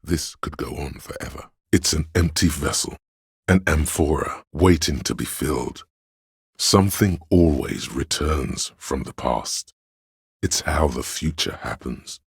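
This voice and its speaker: An actor voicing a pompous, art-obsessed inner voice in a video game, narrating in a literary, deliberate and theatrical way with a husky, gravelly tone. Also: male voice, very deep voice, expressive, no room sound, studio quality, exaggerated voice. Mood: musing. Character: pretentious art-obsessed inner voice, male, musing and cutting. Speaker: narrator of a character's inner skill voice.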